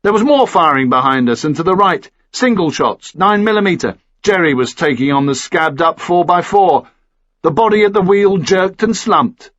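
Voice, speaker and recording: A man's voice: high voice, lively intonation, normal speed, a bit bright, dry recording, muffled recording. A man reading a first-person action thriller as scripted audiobook narration.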